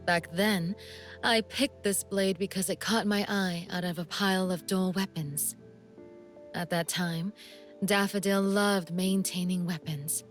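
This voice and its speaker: A seductive female assassin from a video game, played by a woman, with a lowish voice and very slow, languid delivery. She is coolly amused and teasing, breathy at times, with a sadistic menace under every phrase. Music plays behind her. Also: steady tone, lightly acted. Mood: cool, reflective. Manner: languid, teasing, deliberate, sometimes breathy near-whisper. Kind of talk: video game character voice lines.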